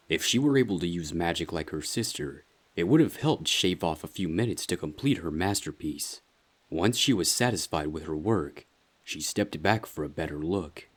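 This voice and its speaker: Narrator for a dark fan fiction tale: man, very low voice, husky edge, scripted dramatic reading.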